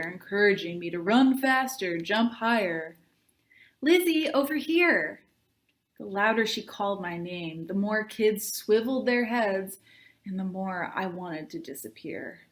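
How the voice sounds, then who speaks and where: A woman reading aloud to children as a librarian, in an expressive, deliberate storybook style. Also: medium pitch. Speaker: youth services librarian reading aloud to tweens.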